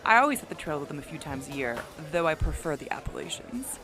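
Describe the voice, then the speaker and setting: A woman's voice: deep voice, sing-song, quick pace, over music. A woman playing a confident, playful businesswoman with secrets in an audio drama, speaking in a breezy, self-assured conversational way. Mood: friendly, interested.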